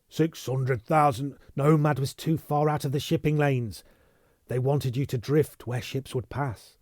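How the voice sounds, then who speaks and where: A man's voice: mid-range voice, a little mellow, dry recording, clean studio sound, close to an everyday voice. A man doing a savage cold interrogator. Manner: savage, pounding.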